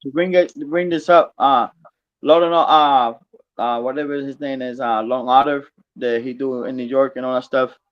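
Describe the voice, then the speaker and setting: Halting man from Puerto Rico, lo-fi. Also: mid-range voice, steady tone. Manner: halting, repeats words. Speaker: panel guest. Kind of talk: casual chat. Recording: dull lo-fi stream audio.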